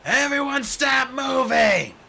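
A man voicing a big earnest male dragon who bellows slow, forceful orders. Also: very high voice, creaky, pitched above the performer's own voice, exaggerated voice. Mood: bellowing.

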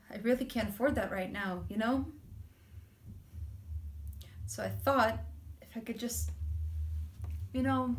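A woman performing a stage monologue as a frustrated, defiant low-paid sandwich shop worker, blunt, casual and sweary. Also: lightly acted. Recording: roomy, distant mic, faint noise.

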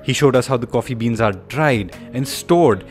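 A man's voice: mid-range voice, moderate pace, soft background music. A man reading scripted voice-over narration for a motoring travel film.

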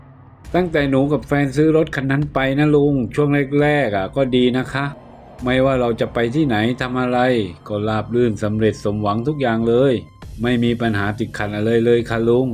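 A man voicing a nervous young woman who is curious and anxious, speaking in a high falsetto. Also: fairly even intonation, moderate pace, a little mellow, soft background music, natural voice. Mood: anxious pleading. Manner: high put-on falsetto, pleading.

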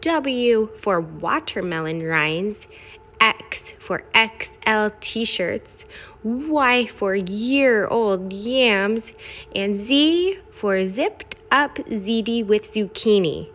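A woman's voice: mid-range voice, lively intonation, extremely slow, a bit bright, heavily acted. A woman voicing a brash, boastful, gleefully smelly garbage truck in a children's picture book, in punchy, emphatic phrases. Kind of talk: expressive children's picture-book read-aloud.

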